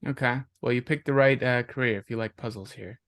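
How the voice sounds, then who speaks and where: A man's voice: medium pitch, a little fast, fairly bright tone, dry recording, studio quality. A man leading introductions at the start of an online class, giving short friendly replies over a video call. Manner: friendly, brief acknowledgments. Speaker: course instructor.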